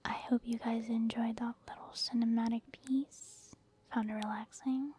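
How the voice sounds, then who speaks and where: A woman whispering softly and breathily in a casual, rambling ASMR commentary over video gameplay. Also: fairly high pitch, level tone, moderate pace, a little hiss or hum. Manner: casual, rambling, gentle explaining. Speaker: gaming ASMR video host.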